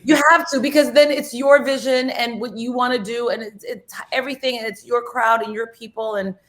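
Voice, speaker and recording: A woman's voice: fairly high pitch, steady tone, fairly quick. A middle-aged woman from the Philippines, a guest in a radio studio, telling stories in casual chat with many "you know" fillers.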